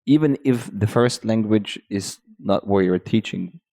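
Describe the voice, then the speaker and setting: A man with a German accent who speaks German natively, talking as a guest Pilates teacher in a halting way with restarts. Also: fairly low pitch, dark tone. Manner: halting, restarts while searching for words. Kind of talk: casual podcast conversation.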